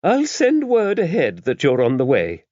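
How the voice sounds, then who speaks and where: A man's voice: fairly high pitch, huge pitch swings, normal speed, darkish tone, dry recording, lo-fi sound, pitched above the performer's own voice. A man voicing a genteel, chatty nun with precise, hospitable speech in an audiobook. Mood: brisk, helpful. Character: outgoing, chatty nun, gently forthright woman. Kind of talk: audiobook character dialogue.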